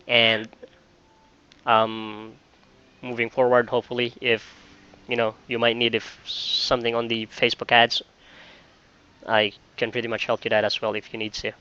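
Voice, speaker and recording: A man talking casually in a job interview call as a virtual assistant, halting and repeating phrases with frequent filler phrases. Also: mid-range voice, bright, forceful tone, clear, resonant tone, lo-fi sound. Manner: halting, repeats phrases, often says "you know". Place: online call.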